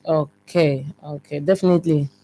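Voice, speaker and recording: Brisk interviewer, okay after okay. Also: mid-range voice, fairly even intonation, dark tone. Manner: quick probing questions, frequent "okay". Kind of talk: radio-TV interview.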